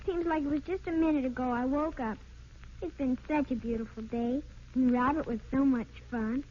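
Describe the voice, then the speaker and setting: A woman's voice: sits high, normal speed. A woman playing a sweet, earnest young girl in an old-time radio drama, polite and wide-eyed. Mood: happy, grateful. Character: sweet, earnest young orphan girl, tender big sister. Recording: dull, noisy old radio recording.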